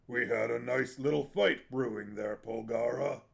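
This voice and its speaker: Storybook: man voicing a loud, grumbling warrior who complains. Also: mid-range voice, unhurried, creaky.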